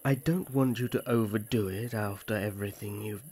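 A man's voice: lowish voice, fairly even intonation, moderate pace, darkish tone, breathy edge. A man voicing a protective, serious young elf prince who speaks in a formal, guarded way, in a fantasy audio roleplay. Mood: hesitant, concerned.

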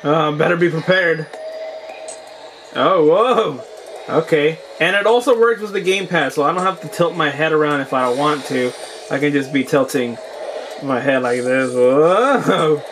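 A hoarse young man casually reviewing a VR headset at home, exclaiming through gameplay. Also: a little high, normal speed, slight background noise. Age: young adult. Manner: excited exclamations, chatty. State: hoarse from being sick. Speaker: tech reviewer and VR gamer. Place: small home room with shelves, indoors.

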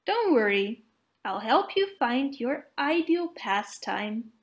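A woman's voice: fairly high pitch, sing-song, takes it slowly. A woman voicing a friendly, easygoing young outdoorsman in a children's storybook, with a reassuring manner.

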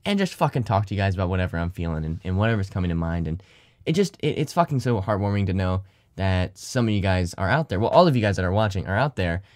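A man's voice: low-pitched, big pitch swings, fast, a little mellow, no echo, studio quality. A young man vlogging casually to his viewers in his room, rambling, swearing often, his voice tired from not talking all day. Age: young adult. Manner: rambling, swears a lot, thankful. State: tired, slightly deep morning voice. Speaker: YouTuber and streamer. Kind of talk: casual vlog talk to camera. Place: small bedroom corner.

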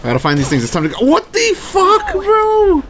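A man's voice: very high voice, huge pitch swings, normal speed, a bit bright, a little hiss or hum. A man livestreaming a video game, chatting casually with viewers in a slangy, sweary way. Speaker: game streamer. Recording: game music behind. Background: video game music and character voices.

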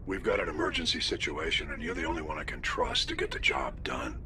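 A man's voice: extremely low pitch, fairly quick, sharp tone, a little hiss or hum, exaggerated voice. A man playing an authoritative older fleet admiral in a video game, grave and clipped in military fashion. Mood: grave, urgent. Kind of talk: video game dialogue acting.

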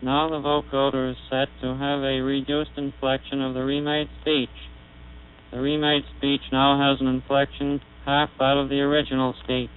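A man demonstrating an early speech synthesizer, speaking in a measured, explanatory way through a buzzy vocoder. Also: mid-range voice, monotone. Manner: measured, explanatory, recites test sentences. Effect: vocoder-resynthesized voice, buzzy and synthetic. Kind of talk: technical demonstration. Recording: tinny 1930s archival recording with mains hum.

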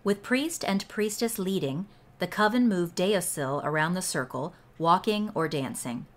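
A woman reading aloud from a scripted ritual text in a measured, deliberate way. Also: lowish voice. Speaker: read-along host and reader. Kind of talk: slow scripted read-aloud of a ritual book.

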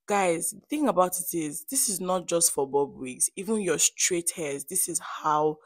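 Female beauty vlogger, Nigerian Igbo accent, low pitch, dry close sound; chatty, playful, emphatic tutorial delivery. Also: animated, a little slow, no room sound. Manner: playful, emphatic, addresses viewers directly. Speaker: hair stylist and beauty vlogger. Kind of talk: chatty tutorial voice-over to viewers.

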